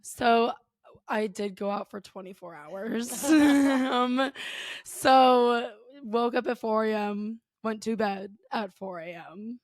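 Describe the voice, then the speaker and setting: A woman in her twenties, a guest on a podcast, with a hoarse voice, explaining things in a lively way. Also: a little high, slow, dry recording. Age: 20s. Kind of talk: casual chat.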